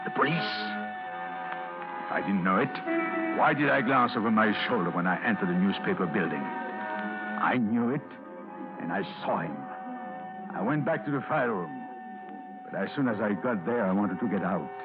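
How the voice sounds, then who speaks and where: A man's voice: lowish voice, animated, normal speed, long pauses. An actor playing a frightened middle-aged businessman who fears arrest, narrating his own story in 1940s radio drama style. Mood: hunted. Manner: tense, anxious inner monologue. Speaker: narrator and lead character. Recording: muffled old radio broadcast with music.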